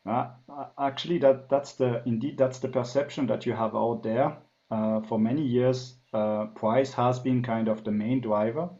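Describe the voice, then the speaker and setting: A man's voice: medium pitch, steady tone, moderate pace, few pauses, mellow, highs cut off. A man from France with a French accent tinged by years in Germany, a guest consultant explaining things thoughtfully with many "um"s in a business podcast interview. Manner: thoughtful, filler-heavy explanations.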